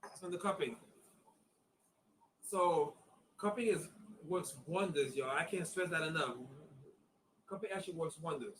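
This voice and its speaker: A man's voice: a little high, measured pace, long pauses, fairly bright tone, slight background noise, poor audio. A man of fifty, an acupuncturist, giving a casual online demonstration in a chatty, repetitive way and calling viewers y'all. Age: 50s. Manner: chatty, repeats himself, addresses viewers as y'all.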